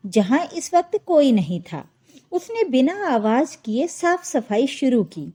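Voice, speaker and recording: A woman's voice: sits high, warm tone. A woman narrating a scripted family drama story as a storyteller.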